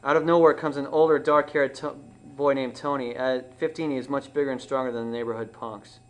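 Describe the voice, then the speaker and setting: A man's voice: mid-range voice, fairly even intonation, a little fast. A man reads stage directions from a script at a table read. His mid-range voice is plain and unpolished, and he corrects himself as he goes. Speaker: writer reading stage directions. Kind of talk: screenplay table read narration. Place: small dim indoor room.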